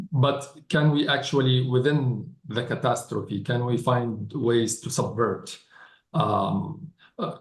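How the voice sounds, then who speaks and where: A man speaking as a writer on a live panel, deliberate and thoughtful with frequent hesitant pauses. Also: medium pitch. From Palestinian. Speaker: novelist, festival panelist. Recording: echoey live event room.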